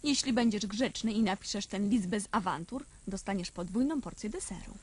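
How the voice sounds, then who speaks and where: A woman's voice: medium pitch, normal speed, slightly rough, lightly acted. A woman playing a firm, fed-up, scolding mother in a radio play. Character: fed-up, sharp-tongued mother and housewife. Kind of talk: acted radio play dialogue.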